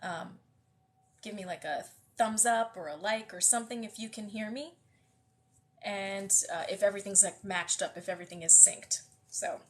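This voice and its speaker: A woman's voice: mid-range voice, moderate pace. A woman with a slightly nasal, congested voice, hosting a live book reading and chatting casually with viewers, with many fillers and restarts. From US West Coast. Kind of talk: casual livestream chat greeting viewers.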